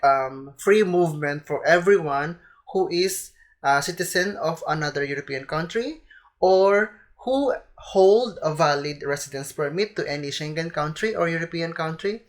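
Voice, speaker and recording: Young Filipina vlogger with a Filipino accent and a slight cold, chatty, talks to camera. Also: female voice, deep voice, expressive, moderate pace. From Philippines. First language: Tagalog. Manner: chatty, keeps addressing viewers as "guys". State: has a cold. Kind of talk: casual advice vlog to camera.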